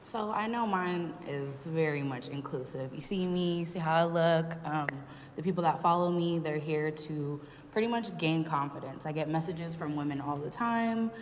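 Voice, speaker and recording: A confident woman in her twenties speaks in a lowish voice on a panel. A long reverb and faint noise surround her words. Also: normal speed, hardly any pauses. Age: 20s. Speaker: curve model and influencer.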